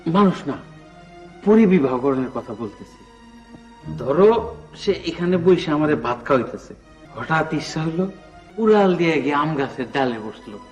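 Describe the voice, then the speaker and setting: A man's voice: on the high side, animated, moderate pace, pauses often, a little mellow, muffled recording, faint music behind, close to an everyday voice. An actor playing a good-natured, half-mad village man in a comic drama, rambling earnestly in rural Bangladeshi Bengali. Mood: playful.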